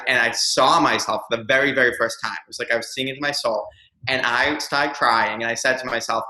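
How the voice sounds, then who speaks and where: Interview guest: young man, earnest and reflective, crisp bright voice, roomy echo. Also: medium pitch. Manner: earnest, reflective, many ums. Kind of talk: candid personal storytelling.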